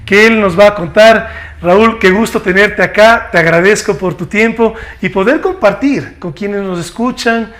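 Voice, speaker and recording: Male host, high-pitched, formal interviewer who asks long, winding questions. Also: measured pace, a bit bright.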